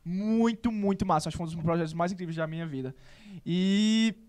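Young man, Northeastern Brazilian accent, high voice, rapid speech, podcast studio mic. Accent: Northeastern Brazilian, Paraíba. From Paraíba, Northeast Brazil. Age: young adult. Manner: animated, quick storytelling with slang fillers. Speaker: guest artist. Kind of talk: casual interview storytelling. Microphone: studio mic on boom arm.

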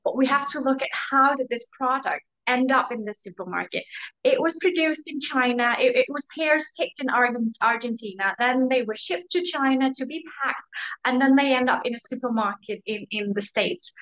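A woman's voice: high-pitched, animated, a bit bright. Earnest young woman on a muffled call. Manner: earnest, emphatic, quick asides. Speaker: panelist. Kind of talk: video call panel discussion. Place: room at home with bookshelves. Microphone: video call laptop mic. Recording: dull lo-fi call audio.

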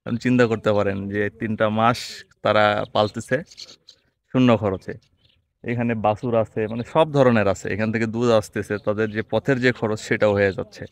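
A man's voice: on the low side, fairly even intonation, brisk. A man, vlogging outdoors in a rural field, chatting and asking short questions. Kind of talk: casual vlog narration and interview.

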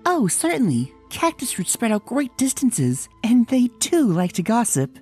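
A woman's voice: fairly high pitch, sing-song, measured pace, mellow. A woman voicing a sweet, polite female pony with a dainty manner in a cartoon comic dub. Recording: music behind the voice.

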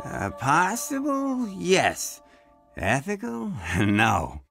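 A creaky, hesitant nerd. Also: male voice, sits high, huge pitch swings, very slow, exaggerated voice. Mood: hesitant, sly. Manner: stammering, hesitant. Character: awkward, bashful, bookish young male nerd. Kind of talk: dramatic motion comic dialogue. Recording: music behind the voice.

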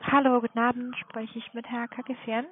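A woman with a foreign accent in German making a polite, halting sales call as a non-native speaker. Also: a little high, level tone. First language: not German. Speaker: telemarketing caller for a trading firm. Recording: tinny phone line.

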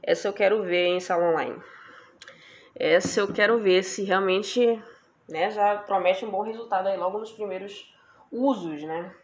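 A woman's voice: medium pitch, normal speed. A young woman chatting casually about products she bought, asking her viewers for agreement every few lines. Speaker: beauty vlogger. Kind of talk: casual product haul chat.

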